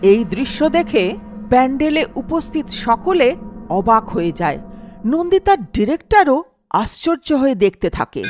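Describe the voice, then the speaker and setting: A woman narrating a scripted horror story in a dramatic storytelling manner. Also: a little high.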